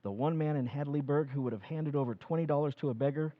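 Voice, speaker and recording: Actor as an earnest, guilt-ridden husband in an old-time radio play, dull lo-fi sound. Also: male voice, a little high, fast, close to an everyday voice. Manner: earnest, confiding. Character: anxious small-town husband, middle-aged, guilt-ridden.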